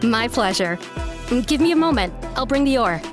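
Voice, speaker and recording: A woman's voice: on the high side, lively intonation, normal speed, music in the background, natural voice. A woman voicing a friendly, kindly villager in an anime video game, with a cheerful manner. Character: friendly, generous village woman who loves ores.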